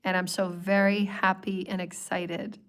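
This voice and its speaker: A woman hosting a spiritual livestream, hoarse from a cold, talking in long flowing strings of repeated phrases. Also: medium pitch, flat delivery, unhurried. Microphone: livestream microphone.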